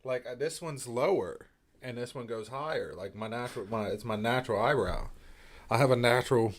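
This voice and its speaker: A man's voice: mid-range voice, fairly quick. A man co-hosting a casual live-stream chat, swearing freely, joking around and ranting heatedly. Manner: profane, joking, heated rants. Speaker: co-host.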